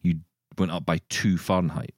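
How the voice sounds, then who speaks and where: A man's voice: sits low, little pitch movement, normal speed, no room sound, clean studio sound. A Scottish man hosting a podcast with casual, jokey banter and teasing. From Scotland. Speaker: podcast host.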